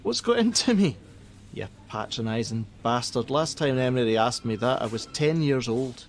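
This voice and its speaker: A man acting a gruff, jaded helpline worker. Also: mid-range voice, animated, normal speed, faint music behind, natural voice. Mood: angry. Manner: blunt, sardonic, defensive. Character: jaded, gruff, hot-tempered male crisis-line worker and father. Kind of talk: naturalistic radio play acting.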